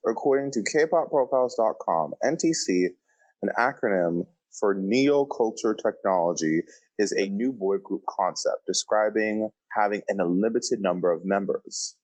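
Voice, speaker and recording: A man's voice: fairly low pitch, normal speed, a little mellow, low-quality recording. A man co-hosting a podcast in a casual, opinionated way, with campy, slangy talk. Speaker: podcast co-host.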